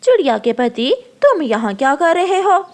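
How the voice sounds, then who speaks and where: Meddling female crow for cartoon, woman narrator performing: gossipy, scolding, high voice with big swings. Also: very animated, moderate pace. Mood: prying. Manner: accusing, meddling.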